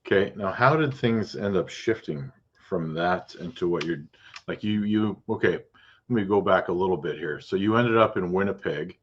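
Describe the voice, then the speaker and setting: A man's voice: deep voice, slightly rough, muffled recording. A man hosting a podcast, asking casual, rambling questions and often stopping to rephrase them mid-sentence. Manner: chatty, restarts his questions, quick acknowledgments. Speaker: podcast host and realtor. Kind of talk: casual interview.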